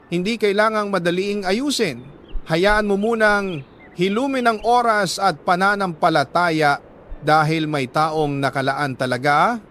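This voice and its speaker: A man with a Filipino accent reads a letter as a storyteller, in a sentimental, measured dramatic narration broken into short phrases. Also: high-pitched, fairly bright tone, slight background noise. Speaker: storyteller reading a listener's letter. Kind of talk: dramatic scripted first-person narration of a love story.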